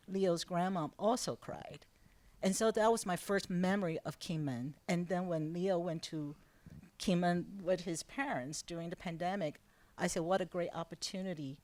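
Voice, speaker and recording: A woman's voice: fairly low pitch, darkish tone, dry recording. A woman in her sixties from Taiwan, a documentary producer, telling a personal story on a panel in an earnest way, with some restarts. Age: 60s. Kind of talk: panel Q&A conversation.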